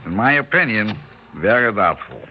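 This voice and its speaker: An older New shopkeeper with a lilt and a low voice. He is resigned and deadpan, turning his phrases around with wry courtesy. It sounds like an old radio drama, muffled, with faint hiss. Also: moderate pace. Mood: wry, doubtful. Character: weary, wry older male delicatessen owner held hostage. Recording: dull, hissy old radio recording.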